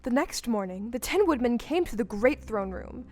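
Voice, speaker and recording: A woman's voice: fairly high pitch, expressive, normal speed, a little mellow, soft background music. A woman giving scripted storybook narration in an audio play.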